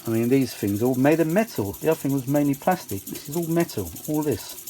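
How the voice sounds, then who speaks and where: A man presents a hobby demonstration from an indoor workbench, unscripted and informal. His voice sits at a middling pitch. He rambles along with open enthusiasm, chatting to the viewer from behind the camera. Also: expressive, a little fast, dark tone, a little hiss or hum. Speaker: vintage audio hobbyist presenter. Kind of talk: casual unscripted chat while demonstrating a machine.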